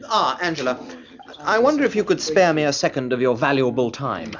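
An actor playing a fussy, officious middle-aged English barrister, prim and insistent, in a radio drama. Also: male voice, fairly high pitch, very animated, a little fast, dull audio, a crowd in the background.

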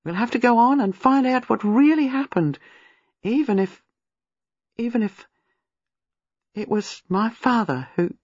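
Casting: narrator doing a worried young woman, husky, lo-fi dry audiobook. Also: medium pitch, lively intonation, fairly quick, hardly any pauses, no echo, pitched above the performer's own voice. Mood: anxious. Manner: urgent, faltering. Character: young wife, anxious and earnest. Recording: dull lo-fi dry recording.

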